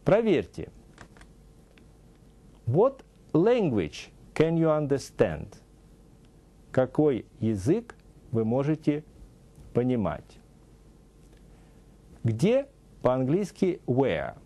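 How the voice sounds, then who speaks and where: A middle-aged man teaching a scripted TV language lesson, saying English example phrases slowly and deliberately, in a studio. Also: mid-range voice, huge pitch swings, warm tone. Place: TV studio with a news-desk set.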